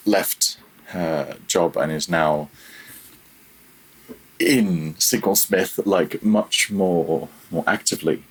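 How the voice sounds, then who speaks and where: A man's voice: low voice, breathy edge, poor audio. A man in his thirties talking casually and candidly as an interview guest, often correcting himself mid-sentence. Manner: halting, self-correcting, candid, chuckles at himself. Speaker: podcast guest, freelance audio developer.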